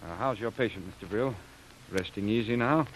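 Actor as a grave, low-voiced jungle doctor. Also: male voice, fairly quick, lightly acted. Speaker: doctor character. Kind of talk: old-time radio drama acting. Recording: noisy old radio recording.

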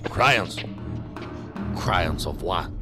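An anxious, devoted male follower, played by a man, asks earnest questions in a low, slow voice. Music runs underneath. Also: lively intonation, heavily acted. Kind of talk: audio drama acting.

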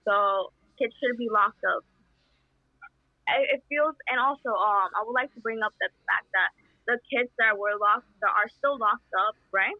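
A young woman from El Salvador, answering interview questions in an impassioned, halting way with many fillers. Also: on the high side, no echo. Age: young adult. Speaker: interview guest. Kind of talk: earnest conversational answers. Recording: tinny phone-like line.

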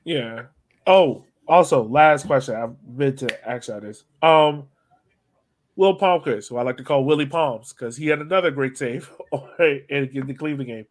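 A man's voice: medium pitch, moderate pace. An American man hosting a soccer podcast, informally steering the discussion and putting questions to his co-hosts. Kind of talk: casual chat, leading discussion.

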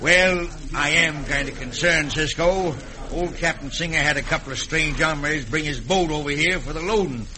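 An actor playing a folksy, plain-spoken American shipping superintendent in an old radio western. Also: male voice, fairly high pitch, moderate pace, crowd noise, close to an everyday voice. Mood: worried. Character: hearty, worried Old West shipping superintendent. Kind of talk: classic radio western acting. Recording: old radio recording with background voices.

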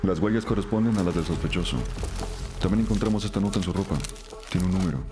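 An analytical male investigator with an extremely low voice, played by an actor, reports findings with a philosophical bent. The scripted crime drama has music clearly running beneath him. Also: fast talker, natural voice.

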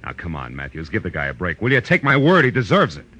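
An American actor playing a wry, urgent private detective in a 1940s radio drama, speaking in a clipped, hard-boiled way on an old broadcast recording. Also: male voice, sits low, huge pitch swings, fast talker, bright, forceful tone, a little hiss or hum, exaggerated voice. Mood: pleading. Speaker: lead actor and first-person narrator.

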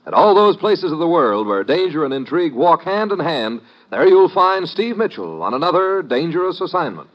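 A man announcing a radio drama in a booming, declamatory style. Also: sing-song, moderate pace, few pauses, muffled recording. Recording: old radio broadcast with brass music.

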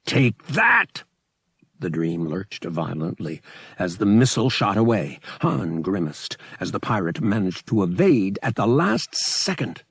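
A man narrating an adventure audiobook in a dramatic, expressive scripted style. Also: sits low, a little slow, slightly rough, no echo.

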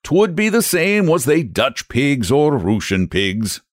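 A man's voice: mid-range voice, sing-song, takes it slowly, no echo, clean studio sound, pitched above the performer's own voice. A man voicing a stubborn, rule-bound Irish express agent who speaks in thick dialect and mocks the customer. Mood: stubborn mocking.

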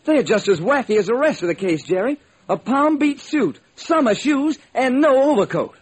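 An actor playing a wisecracking, exasperated police homicide lieutenant in an old radio detective drama, with a husky, slangy, grumbling delivery. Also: male voice, extremely high pitch, very animated, normal speed, heavily acted. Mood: mocking.

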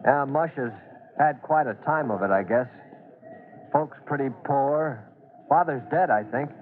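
A man's voice: medium pitch, measured pace, a little hiss or hum, lightly acted. An American actor playing an earnest, respectful young fireman in an old-time radio drama, stammering and deferential. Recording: tinny vintage radio recording.

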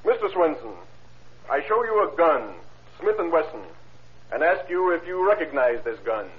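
An actor playing a formal, methodical prosecutor questioning witnesses in an old-time radio courtroom drama. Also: male voice, fairly high pitch, sing-song, moderate pace. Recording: tinny, band-limited vintage radio recording.

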